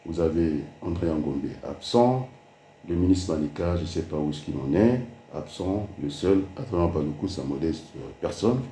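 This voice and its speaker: A man's voice: rumbling low, warm tone, echoey room, slight background noise. A man from Congo with a Congolese French accent, giving an emphatic political address that mixes French and Lingala, with many fillers.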